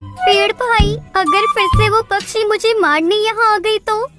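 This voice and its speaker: A woman's voice: extremely high pitch, measured pace, loud music behind the voice. A woman voicing a meek, poor little female bird in a children's cartoon, speaking in a plaintive, earnest way. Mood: sorrowful, helpless. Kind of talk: Hindi children's cartoon dubbing.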